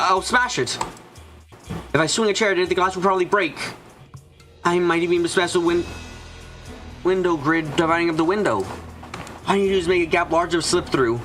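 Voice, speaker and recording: A man's voice: fairly high pitch, brisk, long pauses, fairly bright tone, faint music behind, natural voice. A man voicing an anxious, frightened narrator trapped and desperate, in a first-person visual novel fan dub. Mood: desperate, frantic. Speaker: voice actor narrating.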